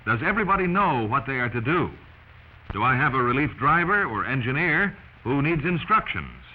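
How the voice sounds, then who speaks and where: American guy narrating a 40s training film, really lively pitch, emphatic and instructive, dull old noisy soundtrack. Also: moderate pace. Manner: emphatic, instructive, addressing the listener directly.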